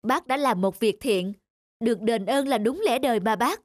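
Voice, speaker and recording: Woman voicing a high, pleading male traveler. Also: moderate pace, dry recording, studio quality, lightly acted. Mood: grateful. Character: anxious, grateful middle-aged male traveler. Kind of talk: animated folk tale dialogue. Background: music.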